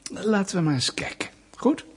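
A man's voice: high voice, sing-song, moderate pace, slightly rough, full character voice. An actor playing a suspicious, smug state security interrogator in a radio play, pedantic and sardonic as he presses his questions. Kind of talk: Dutch radio play acting.

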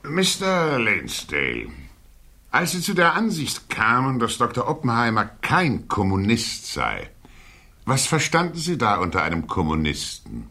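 An actor playing a probing male questioner in a radio play, raspy and formal. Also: mid-range voice, huge pitch swings, unhurried, bright, forceful tone, full character voice. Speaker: hearing questioner. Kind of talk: German radio play acting.

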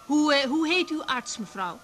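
An actress playing a patient, practical young doctor in a radio drama, asking questions gently and hesitantly in Netherlands Dutch. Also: female voice, high-pitched, lively intonation, moderate pace, faint music behind. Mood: puzzled, impatient. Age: young adult. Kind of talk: naturalistic 1980s radio drama acting.

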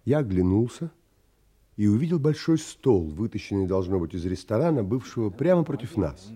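A man playing a thoughtful traveller who recounts his wonder in measured, polite audio drama narration. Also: lowish voice, very animated, mellow. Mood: polite, curious. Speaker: narrator and lead actor in an audio play.

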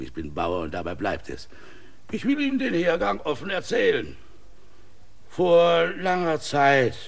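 An actor playing an older, bedridden village mayor laid up with gout, courteous but rambling and evasive in a radio play. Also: male voice, low voice, husky, full character voice.

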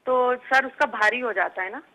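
A woman's voice: fairly high pitch, moderate pace, bright, forceful tone. A woman calling in as a worried mother, rambling and repeating herself. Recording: dull phone line.

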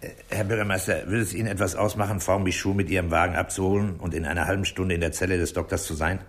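Actor as deep-voiced, authoritative police commissioner. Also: male voice, fairly even intonation, natural voice. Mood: probing, businesslike. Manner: measured, deliberate, authoritative. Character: authoritative, methodical male police commissioner, dryly impatient. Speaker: police commissioner leading an investigation. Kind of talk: 1960s German radio crime drama acting.

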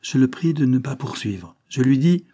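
A man's voice: medium pitch, lively intonation, normal speed, mellow, lightly acted. A man voicing an agitated man confessing a crime to his lover, exalted and dramatic, as in an audiobook. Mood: desperate, exasperated. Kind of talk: dramatic audiobook character reading.

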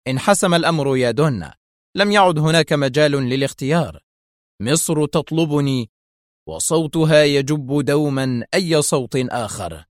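A man's voice: mid-range voice, animated, moderate pace, dry recording, studio quality, close to an everyday voice. A man playing a stern, principled male intelligence officer in audiobook dialogue, speaking firmly and with emphasis. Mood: resolute. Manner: firm, strict, emphatic.

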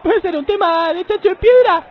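A man's voice: extremely high pitch, brisk, a little hiss or hum. A man playing a polite, puzzled member of the public in an improvised comic radio sketch. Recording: dull, muffled radio recording.

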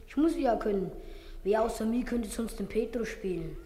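A cocky, casual schoolboy played by a woman speaks in a high voice in an old radio play. The sound is echoey with long hall reverb and faint noise. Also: expressive, moderate pace, warm tone, natural voice. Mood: cheerful, cocky. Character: hungry, cocky schoolboy, aspiring boxer. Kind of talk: 1950s German radio play acting.

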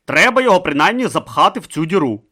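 A man voicing a gruff, suspicious, burly male thug in a storybook reading. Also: sits high, very animated, fast talker, sharp tone, voice pushed up, full character voice.

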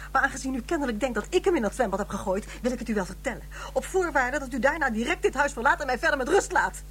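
A woman's voice: on the high side, fairly bright tone, slight background noise. An actress playing a proud, bitter widow in her fifties, curt and formal, in a radio drama. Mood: cold, indignant. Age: 50s.

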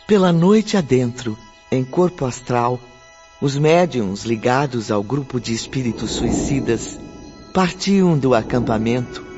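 A man performs a sorrowful male narrator for a radio drama. He speaks slowly and solemnly. The audio is muffled, with faint music underneath. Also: mid-range voice, animated, darkish tone, close to an everyday voice. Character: sorrowful male spirit narrator recalling his suffering.

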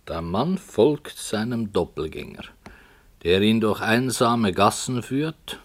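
A man playing a brooding, polite author who narrates a radio play in a solemn, measured manner. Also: lowish voice, a bit bright. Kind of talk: literary scripted narration in a 1960 radio play.

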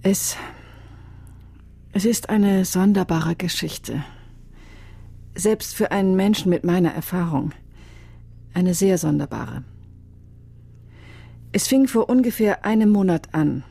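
An actress playing a solemn, soulful female psychic medium in a radio crime drama, speaking in a measured, hesitant, grave way. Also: on the low side, expressive, vocal fry, dark tone, breathy edge, no room sound, heavily acted. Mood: solemn, mysterious.

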